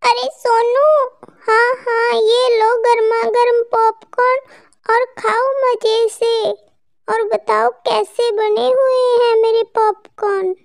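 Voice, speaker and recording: A woman plays a kindly cartoon auntie bird with a tiny, very high voice. She speaks slowly, with an affectionate and earnest manner, as in a children's moral tale. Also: flat delivery, creaky, exaggerated voice. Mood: cheerful, affectionate. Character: sweet, kindly popcorn-selling auntie bird. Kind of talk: children's cartoon story acting.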